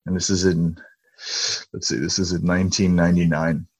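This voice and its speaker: A man in his forties from Indonesia, a podcast guest talking in a relaxed, rambling way over a call. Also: deep voice, fairly quick, slightly rough, dry recording, poor audio. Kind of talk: casual conversation. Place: summer home, over a video call.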